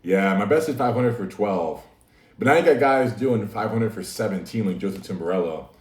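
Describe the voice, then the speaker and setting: A man's voice: fairly low pitch, animated, moderate pace. A man hosting a casual livestream, talking easily to his chat and laughing often. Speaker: livestream host. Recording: echoey room, mic at a distance.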